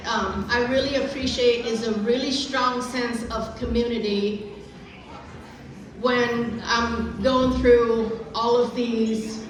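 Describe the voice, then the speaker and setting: A middle-aged woman from Vietnam with a Vietnamese accent, giving an earnest, careful prepared speech at a community event with frequent restarts. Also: a little high. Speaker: guest speaker. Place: large echoey event hall. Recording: echoey hall, voice from far off.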